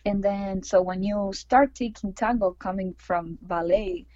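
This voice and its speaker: A woman's voice: mid-range voice, animated, measured pace, a little mellow, no echo, dull audio. A young woman from Argentina, a tango dancer and teacher, answering interview questions casually, with lots of fillers. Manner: chatty, many fillers and like, you know.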